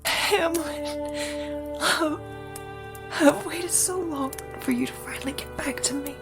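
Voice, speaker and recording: An actress playing a devoted, forgiving wife from beyond death, tender and earnest in an audio drama. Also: female voice, high voice, takes it slowly. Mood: tearful longing. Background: music.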